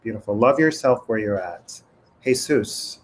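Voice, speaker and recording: A man's voice: on the low side, lively intonation, unhurried. A man moderating a panel conversation over a video call, affirming and encouraging his guests. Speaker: moderator and show host. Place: home room.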